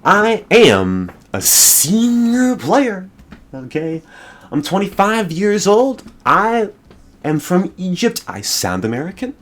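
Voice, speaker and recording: A whiny, self-important young male gamer, as a man mockingly puts him on, squeaking high above a normal speaking voice. He drawls his boasts slowly, full of smug entitlement. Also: huge pitch swings, fairly bright tone, full character voice. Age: 20s.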